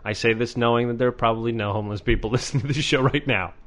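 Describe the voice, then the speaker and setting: A man co-hosting a podcast in casual chat, loud and booming, trading sarcastic jokes. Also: racing. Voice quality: booming voice that carries. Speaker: podcast co-host.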